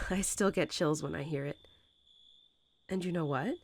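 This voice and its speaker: A woman voicing a gentle, nurturing mother wolf who talks softly and unhurriedly to her newborn pups in a bedtime roleplay audio. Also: deep voice, a little hiss or hum. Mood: tender, encouraging. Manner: tender, unhurried, lulling storytelling.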